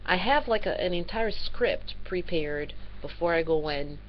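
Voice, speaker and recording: A woman's voice: lowish voice, a little slow. A woman in her thirties, a language teacher, talking to camera in a candid, loosely rambling vlog. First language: not Norwegian; speaks English, Portuguese and Spanish. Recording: slightly dull lo-fi audio with faint background hum.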